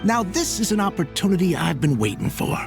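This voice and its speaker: An eager, scheming male trader from a video game. His creaky, fairly high voice wheedles and swings in pitch, with clear music behind it. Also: very animated, fairly quick, full character voice. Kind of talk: video game cutscene acting.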